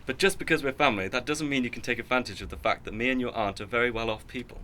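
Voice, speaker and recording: A man acting a well-off, boastful, stern middle-aged uncle in a radio drama, with a pompous, measured way of talking. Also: medium pitch, pushed and bright, a little hiss or hum, close to an everyday voice.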